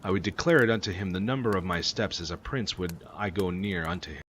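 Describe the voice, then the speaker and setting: Low-voiced man reading scripture, noisy recording. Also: a little fast, a bit bright. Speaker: amateur Bible reader. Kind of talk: reading scripture aloud, King James Version. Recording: clearly noisy recording with ambience.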